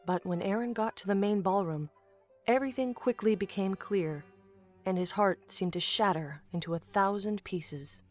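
A woman's voice: mid-range voice, normal speed, darkish tone. A woman reading scripted narration for a fantasy audio drama. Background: music.

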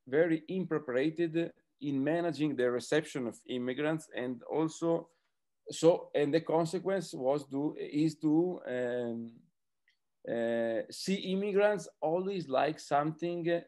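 A man's voice: fairly high pitch, a little mellow. A man in his thirties, a native Italian speaker with an Italian accent, talking hesitantly as a panelist on a video call and searching for words. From Italy. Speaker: film director speaking on a panel. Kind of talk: roundtable talk in a second language. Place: small book-lined home room, indoors. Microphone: computer on a video call.

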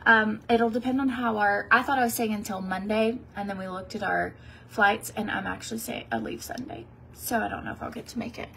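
A woman in her thirties with a slightly sniffly, gravelly voice rambles cheerfully through a get-ready-with-me chat, full of likes and ums. She talks into a phone held at arm's length in a quiet hotel room, with a faint room hum behind her. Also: mid-range voice, steady tone, brisk, poor audio. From Tennessee, US. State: sniffly from a cold. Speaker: beauty vlogger. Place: fancy hotel room, indoors.

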